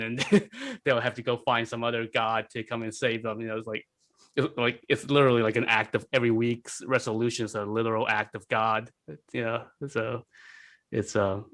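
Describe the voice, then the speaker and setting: A man talking casually as a podcast panelist, halting often and peppering his speech with "like" and "you know.". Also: medium pitch, steady tone, a bit bright. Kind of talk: casual discussion.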